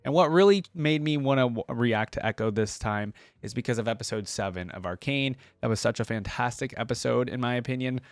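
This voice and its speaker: A man's voice: medium pitch, fairly quick, few pauses, no room sound. A man hosting a reaction video, switching between reading aloud and casual conversational commentary. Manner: conversational asides between read-out passages. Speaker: YouTube host reacting to game lore.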